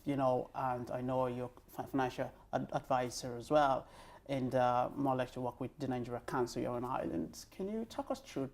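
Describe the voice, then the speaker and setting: A woman hosting a talk show, interviewing conversationally in a halting way as she rephrases her questions. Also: a bass voice, a little mellow.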